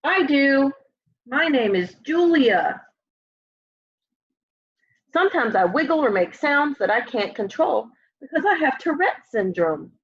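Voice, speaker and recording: A young woman reading a children's picture book aloud in an expressive way, often putting questions to young listeners. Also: on the high side, husky. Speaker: storybook reader. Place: room at home, indoors. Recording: dull, lo-fi home webcam audio.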